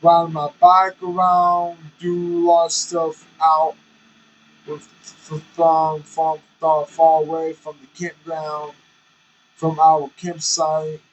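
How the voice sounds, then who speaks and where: Trucker vlogger, male, rambling and candid, slightly high voice, talks slowly from his truck cab. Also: lo-fi sound, slight background noise. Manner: rambling, candid, self-conscious. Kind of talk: casual chat while driving.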